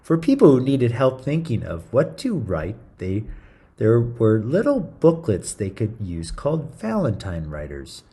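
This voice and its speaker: A man's voice: medium pitch, huge pitch swings, measured pace, warm tone, breathy edge. A man reading a story aloud to children in a theatrical, playful style, with chatty asides. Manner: theatrical, playful, interjecting comments to young listeners.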